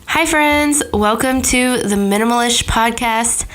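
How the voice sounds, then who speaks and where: A woman's voice: on the high side, a little slow, fairly bright tone. Woman host, a bit nasal from a cold. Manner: chatty and encouraging, with small restarts. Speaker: podcast host. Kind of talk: casual podcast monologue.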